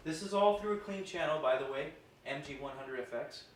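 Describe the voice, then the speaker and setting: A man's voice: a little high, fairly quick. A man casually explaining and reviewing gear in an informal, explanatory way. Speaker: host reviewing guitar gear. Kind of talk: casual product demo and review. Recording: roomy echo, noticeable background noise.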